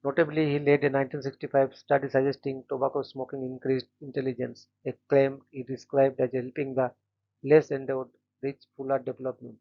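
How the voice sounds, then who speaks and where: A man hosting a daily channel, reading short scripted biographies in a flat, steady way and stumbling now and then on names. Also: mid-range voice, fairly quick, vocal fry, dull audio. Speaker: host of a daily events channel.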